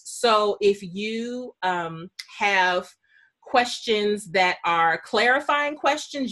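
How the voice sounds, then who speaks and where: A woman's voice: mid-range voice, fairly bright tone. A woman moderating an online panel, speaking informally and chattily as she introduces the event and adds asides. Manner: chatty, housekeeping announcements with asides. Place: online video call.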